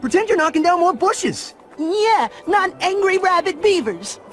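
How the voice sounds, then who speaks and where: An actor voicing a small, mischievous male possum in a cartoon game, excitable and conspiratorial. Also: very high-pitched, unhurried, music in the background. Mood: frantic excitement.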